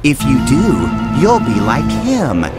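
A man's voice: medium pitch, huge pitch swings, slow pace. Man voicing a crafty, persuasive storybook serpent, music behind. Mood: coaxing, persuasive. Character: crafty tempting male serpent, Satan in disguise. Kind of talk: children's Bible storybook reading.

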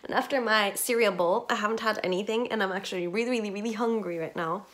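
A woman in her twenties chatting casually to her phone in a small bedroom, full of "like" and little giggles, her voice a bit croaky. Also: medium pitch, quick pace, a bit bright. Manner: chatty, lots of "like", giggly asides. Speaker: vlogger. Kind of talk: casual vlog chat. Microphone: phone held at arm's length.

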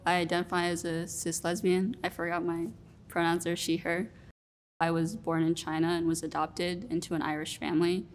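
A young woman in her twenties, answering interview questions in a relaxed, reflective way with many fillers. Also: lowish voice, moderate pace, a little hiss or hum. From Rhode Island, US. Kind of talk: sit-down interview. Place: studio room with plants.

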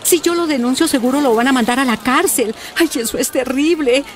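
A woman's voice: sits high, fairly quick, lightly acted. An actress with a Colombian accent playing a distressed, ashamed mother in a radio soap, plaintive and sighing. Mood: anguished, ashamed. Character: distressed, ashamed working-class mother torn over her father. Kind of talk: radio soap opera acting.

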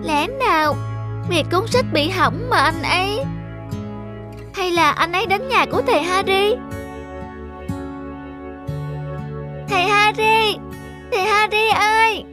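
An anxious, very high cartoon little girl. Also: unhurried, over music. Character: anxious, devoted little sister, a young girl. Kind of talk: children's cartoon dub.

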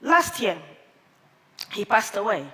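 A woman in her thirties, a native Igbo speaker, giving a prepared talk on stage at a measured pace with careful pauses, on a headset mic. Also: mid-range voice, very animated, sharp tone. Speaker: conference speaker. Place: large theater stage with audience. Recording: long hall reverb from a big theater.

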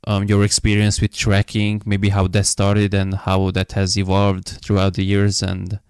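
Podcast host, man, low, even, smooth voice, dry close mic. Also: no room sound. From Hungary. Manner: measured, with frequent "um" and "uh" fillers. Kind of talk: podcast interview.

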